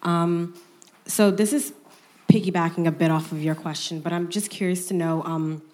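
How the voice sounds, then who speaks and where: Woman, audience question, very low steady voice, flat delivery, earnest. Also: moderate pace. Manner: articulate, curious, earnest. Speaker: audience member asking a question. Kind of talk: question from the floor.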